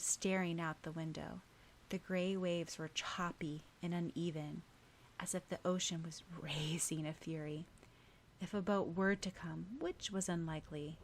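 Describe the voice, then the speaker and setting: A woman's voice: fairly low pitch, a bit bright, slight background noise. A woman reading scripted fiction as an audiobook narrator.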